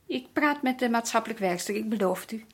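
An actress playing a patient, concerned visitor in a radio drama, speaking politely and attentively with gentle questions. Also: female voice, a little high, fast talker, slightly rough. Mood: reassuring, puzzled. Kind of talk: naturalistic radio drama acting.

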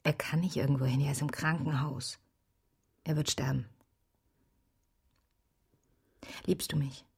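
Heiseres, trotziges sechzehnjähriges Mädchen. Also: female voice, low voice, steady tone, fairly quick, a little mellow, exaggerated voice. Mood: pleading, subdued. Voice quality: breathy, husky. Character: defiant, imperious sixteen-year-old girl in secret love. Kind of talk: intimate modern German radio drama acting.

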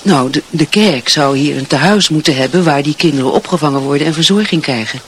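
A man's voice: fairly high pitch, fairly quick, slight background noise, lightly acted. An actor in a radio drama playing an earnest, dutiful wife and mother, hesitant, searching and sincere. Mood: earnest, urging.

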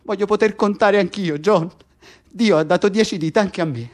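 Male actor as embittered prisoner, rather high male voice, insistent, repetitive, intense, radio drama. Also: normal speed, natural voice. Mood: angry, desperate. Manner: insistent, repetitive, profane, addressing his cellmate. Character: bitter, despairing male political prisoner, envious of his cellmate. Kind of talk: intense naturalistic radio theatre acting.

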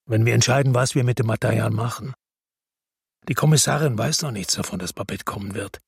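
An actor playing a weary, determined man who narrates his crime story in a measured, understated, rough-edged way for German radio drama. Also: medium pitch, no room sound, clean studio sound. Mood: quietly insistent. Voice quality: husky, rough.

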